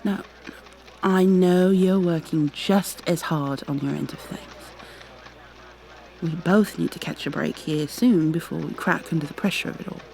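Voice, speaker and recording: A woman's voice: lowish voice, a little slow, gravelly, darkish tone, a little hiss or hum. A woman playing a proud, exasperated goo girl special agent with prim, formal wording in an ASMR roleplay. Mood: weary, earnest. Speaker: voice actor in an audio roleplay.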